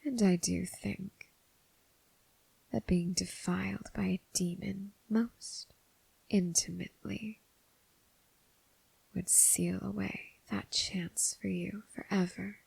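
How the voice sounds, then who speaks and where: Breathy, whispering seductive demoness, acted by a woman. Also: mid-range voice, steady tone, a little mellow, no echo, heavily acted, rough recording. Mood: possessive, seductive. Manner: slow, deliberate, teasing, coaxing. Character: seductive female demon, predatory, teasing, possessive deal-maker. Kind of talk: ASMR roleplay, intimate whispered monologue addressed to listener.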